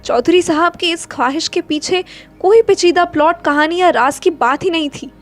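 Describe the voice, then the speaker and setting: A woman with a high voice narrates literary fiction as a dramatic storyteller. Faint music plays behind her reading. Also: moderate pace.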